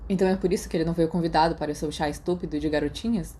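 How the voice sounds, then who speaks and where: A woman's voice: low-pitched, steady tone, very fast, warm tone, natural voice. Woman as a sardonic Brazilian teenage boy, noisy recording. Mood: amused, needling. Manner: sardonic, defensive. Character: irritable, sarcastic teenage schoolboy, secretly soft-hearted. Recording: noticeable background noise.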